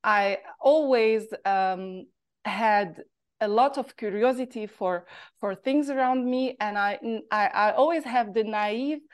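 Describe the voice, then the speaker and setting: A woman from Morocco with a Moroccan accent, telling her life story as an interview guest in a thoughtful, halting way, her pitch rising and falling. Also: smooth. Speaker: guest, artist.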